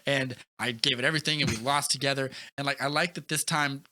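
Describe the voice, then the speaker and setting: A man co-hosting a casual movie podcast, retelling scenes in an animated way and acting out quotes as he goes. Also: mid-range voice, fast talker, no room sound. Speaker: podcast co-host.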